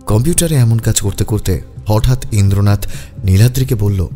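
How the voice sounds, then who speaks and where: A man narrates a detective story in a fairly deep, very mellow voice. The delivery is scripted storytelling, with faint music behind it. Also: moderate pace.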